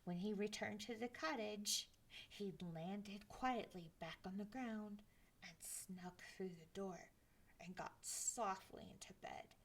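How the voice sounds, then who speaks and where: A woman reading a picture book aloud at library story time, expressive and dropping to hushed whispers. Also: medium pitch, slow.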